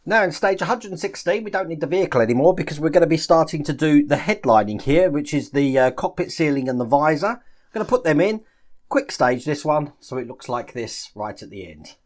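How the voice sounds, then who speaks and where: A man's voice: fairly high pitch, animated, a little fast. A man chatting casually through a step-by-step build tutorial, explaining each move as he works. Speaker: host of a model-building channel.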